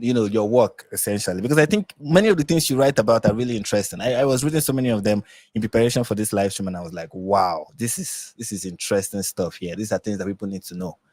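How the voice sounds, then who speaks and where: A low-voiced man from Nigeria hosts a live stream in casual chat. He rambles, restarts his sentences and leans on fillers. The sound is dry and close. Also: darkish tone, no room sound. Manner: rambling, many fillers and restarts, laughs often.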